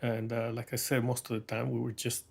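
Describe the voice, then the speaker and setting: A middle-aged man from Mexico speaking as an interviewed artist, telling reflective stories in a halting, thoughtful way with wry humour. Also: medium pitch, completely flat delivery, gravelly. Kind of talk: reflective conversational storytelling.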